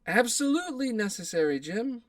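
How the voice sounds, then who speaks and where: A man's voice: very high-pitched, sing-song, moderate pace, pitched above the performer's own voice. A man acting a bullying, imperious governor in an audio drama, with a domineering, threatening way of talking. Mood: cruel, smug. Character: bullying corrupt male governor, menacing and imperious.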